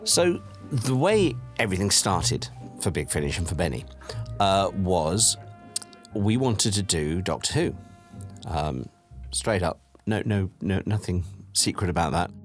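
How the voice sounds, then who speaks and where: A man's voice: sits low, big pitch swings, unhurried, faint music behind. A middle-aged man recalling past events casually in an interview, telling anecdotes and acting out bits of conversation. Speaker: audio drama producer. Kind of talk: casual documentary interview recollection.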